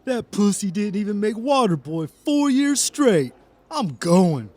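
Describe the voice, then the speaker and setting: A man's voice: sits high, big pitch swings, unhurried, darkish tone, full character voice. A man playing a combative, scrappy tough guy in an audiobook, talking confrontationally. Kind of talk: audiobook character acting.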